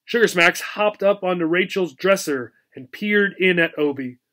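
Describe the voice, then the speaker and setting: A man reading a children's book aloud as a teacher, in an expressive storybook narration style. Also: high-pitched, normal speed.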